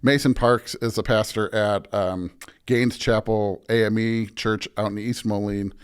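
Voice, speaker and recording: A man's voice: lowish voice, fairly even intonation, a little slow, smooth. An American man, a pastor, talking casually and reflectively as an interview guest, circling back to rephrase as he thinks aloud.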